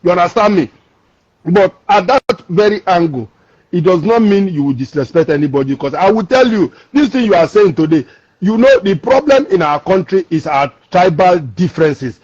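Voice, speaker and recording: A man's voice: high voice, expressive, normal speed, darkish tone, dry recording, lo-fi sound. A man from Lagos with a Nigerian accent, calling into a discussion show and explaining emphatically, repeating and restarting his words. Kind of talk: call-in discussion.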